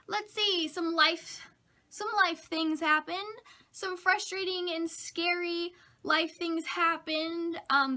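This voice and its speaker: A young woman, a bit hoarse from a cold, telling funny stories about her week to camera in a chatty, self-mocking way. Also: very high-pitched, sharp tone. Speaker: vlogger. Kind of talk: casual chat to camera.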